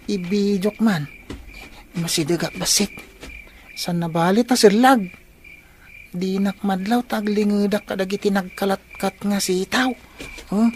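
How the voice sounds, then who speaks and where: A woman's voice: mid-range voice, a little mellow, a little hiss or hum, lightly acted. A woman playing an inquisitive, cheeky young grandchild with an Ilocano accent in a radio comedy drama, chatty and questioning.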